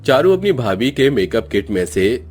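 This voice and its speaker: A man's voice: mid-range voice, sing-song, moderate pace, a little mellow, husky, soft background music. A man narrating a cartoon family story in a dramatic, scripted storytelling style.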